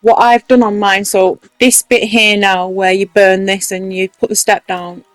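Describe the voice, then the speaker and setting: A woman's voice: mid-range voice, level tone, unhurried, dry recording, soft background music. A woman with a strong Mancunian accent, casually talking through a game building tutorial step by step. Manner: chatty, explaining step by step. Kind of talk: casual commentary over gameplay.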